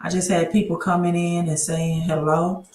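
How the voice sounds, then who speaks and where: A woman's voice: fairly low pitch, little pitch movement, normal speed, darkish tone, echoey room. A woman hosting a casual credit livestream from her laptop, chatty and often restarting her sentences. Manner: chatty, restarts often, talks while fixing tech. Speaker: credit educator and livestream host. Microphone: laptop mic.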